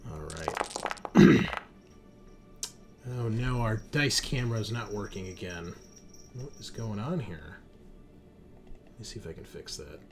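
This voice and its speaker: A man narrating a tabletop roleplaying game as game master, in an informal, halting way. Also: on the low side, lively intonation. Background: music.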